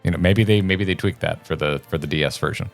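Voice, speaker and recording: A man with a fairly low voice gives casual reaction commentary as a gaming co-host. He is enthusiastic and uses plenty of slang. Faint music plays behind his voice. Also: quick pace, creaky.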